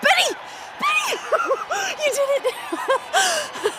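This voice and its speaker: A woman playing a loyal, excitable teenage high school girl in an audio drama. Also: very slow, raised voice, a little hiss or hum, heavily acted. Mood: excited, anxious.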